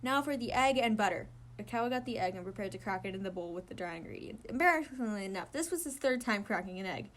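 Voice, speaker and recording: A woman reading fan fiction aloud as an amateur narrator, giggly and animated, with casual asides. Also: medium pitch, fast talker, few pauses.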